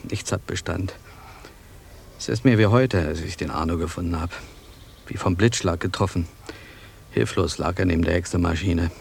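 An actor playing an embittered, melancholy old villager in a German radio drama, with a gravelly, husky voice. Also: male voice, low-pitched, exaggerated voice. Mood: brooding. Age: older.

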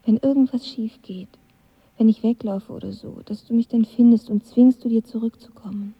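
Guarded young lover, teasing, higher-pitched and very husky, half-whispered intimate radio play delivery. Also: female voice, steady tone, normal speed. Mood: earnest, vulnerable. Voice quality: breathy, often half-whispered. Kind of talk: intimate audio drama acting. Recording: dull studio drama sound.

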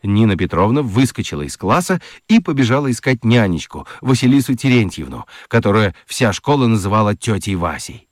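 A man narrating a scripted children's radio story in an expressive, dramatic storytelling manner. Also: on the low side, a little fast, hardly any pauses, dry recording, clean studio sound.

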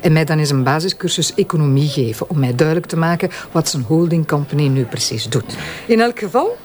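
High-voiced, sarcastic Flemish man in authority, acted. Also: animated, a little fast, a little hiss or hum, close to an everyday voice. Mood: explaining, defensive. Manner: sarcastic, reproachful. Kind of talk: radio drama acting.

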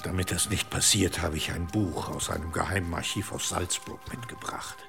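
A stern, scheming prince of the church in a radio drama. His low voice grates with fry as he gives measured, commanding orders. Music plays behind him. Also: steady tone. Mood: hushed, demanding. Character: stern, scheming high-ranking medieval churchman, male. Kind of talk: German radio drama acting.

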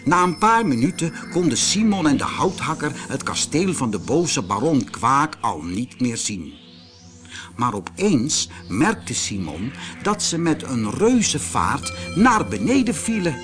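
A man narrating a scripted children's fairy tale in an expressive, dramatic way. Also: mid-range voice, unhurried, music in the background. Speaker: storyteller.

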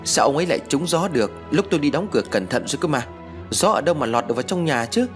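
An old village wife from an audio story, acted out by a male narrator, quick to anger and anxious. She scolds and laments in a rustic, emotional way. The pitch swings widely, with faint music behind. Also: big pitch swings. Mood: agitated. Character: hot-tempered, foul-mouthed old village wife, anxious and wailing.

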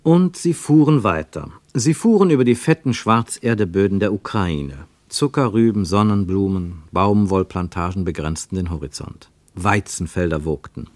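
An actor as the narrator of a literary radio play, telling a travel story in measured, rhythmic phrases. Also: male voice, low voice, animated, darkish tone, no room sound.

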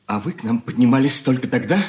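An actor playing an earnest, hurt young son in a radio drama, speaking in measured, heartfelt phrases. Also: male voice, mid-range voice, animated, a little mellow, a little hiss or hum, lightly acted. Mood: earnest reproach. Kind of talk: 1980s Soviet radio play acting.

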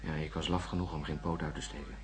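A man's voice: extremely low pitch, little pitch movement, fast, music in the background. A worn-out male astronaut in a science fiction radio play. He is cynical and irritable, speaking in a curt, sarcastic way. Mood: tired, bitter. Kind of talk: radio drama acting.

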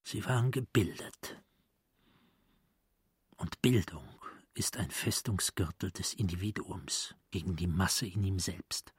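An actor playing a brooding, grandiose psychiatrist, speaking his inner thoughts softly and deliberately in a literary radio play. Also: low-pitched, animated, husky, no room sound, clean studio sound, exaggerated voice. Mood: hushed, solemn.

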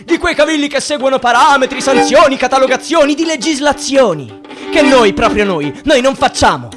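High-pitched, defiant young man. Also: animated, a little fast. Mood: bitter, insistent. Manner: declamatory, rhythmic, insistent. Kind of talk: theatrical radio drama monologue in rhythmic rhyming spoken word. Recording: violin music behind the voice. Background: violin music.

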